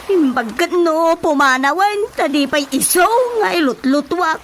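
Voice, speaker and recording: Actress as high-voiced, fed-up wife. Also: female voice, measured pace, a little hiss or hum. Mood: indignant. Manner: complaining, emphatic. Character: aggrieved, fed-up wife of a womanizing husband. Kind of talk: Ilocano radio comedy drama acting.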